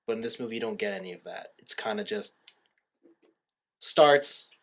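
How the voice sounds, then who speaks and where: A man's voice: fairly low pitch, moderate pace, a bit bright. A man hosting a casual movie review podcast, giving opinions and often rewording himself as he goes. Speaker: podcast host.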